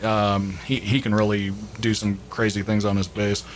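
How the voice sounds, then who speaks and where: A man talking casually and a little haltingly as he co-hosts a discussion of an album. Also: fairly low pitch, flat delivery. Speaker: podcast co-host. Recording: clear background noise.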